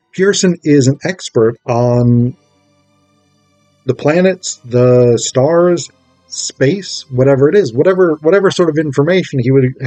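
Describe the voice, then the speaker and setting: A man's voice: medium pitch, mellow, no room sound. A man giving a casual spoken review, enthusiastic and digressive, often thinking aloud as he goes. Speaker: amateur stage actor reviewing a play script.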